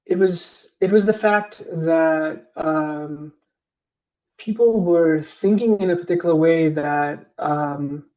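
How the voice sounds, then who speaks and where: A man's voice: on the high side, slow pace, a little mellow, highs cut off. A man in his thirties, from Singapore, answering interview questions as a guest professor, hesitant, with many fillers and restarts.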